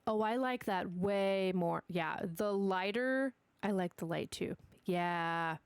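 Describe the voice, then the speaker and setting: Sniffly woman streamer, slow and gravelly. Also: medium pitch, no echo. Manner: chatty, talks to herself and her dog while playing. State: sniffly from allergies. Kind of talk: casual gameplay commentary.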